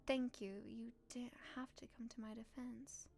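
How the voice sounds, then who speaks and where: A woman's voice: on the high side, level tone, unhurried, vocal fry, a little mellow. Woman reading as an earnest young servant. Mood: grateful.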